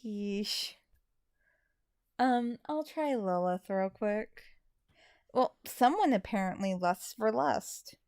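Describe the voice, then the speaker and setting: A woman's voice: medium pitch, animated, takes it slowly, long pauses, darkish tone, clear, resonant tone, no echo, studio quality. A tired woman chatting casually as she hosts a live stream, using mild, folksy exclamations. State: a little tired. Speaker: live stream host and amateur voice actor.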